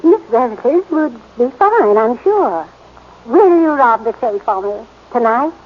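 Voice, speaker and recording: A woman's voice: a little high, very animated, a little slow, breathy edge, full character voice. An actress playing a genteel, earnest seventy-year-old society lady with dainty, fluttery manners, on a muffled old radio recording. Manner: polite, fluttery, dainty phrasing. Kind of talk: 1940s radio acting.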